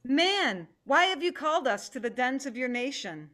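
A woman's voice: sits high, very animated, moderate pace, bright, forceful tone, full character voice. A woman playing a stern, demanding animal in a dramatic play reading.

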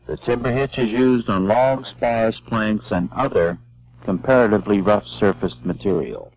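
A man giving measured, step-by-step scripted narration for an instructional film. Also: on the low side, expressive, darkish tone. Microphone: voice-over. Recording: dull lo-fi old film soundtrack.

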